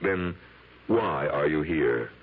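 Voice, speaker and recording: An actor playing an unhinged, guilty husband in a 1970s radio drama, theatrical, halting and edgy. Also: male voice, extremely low pitch, animated, slightly rough, heavily acted. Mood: defensive, sardonic. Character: unhinged husband, smug guilty murderer feigning innocence. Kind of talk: 1970s radio drama acting and confessional narration. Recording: tinny old radio broadcast with music.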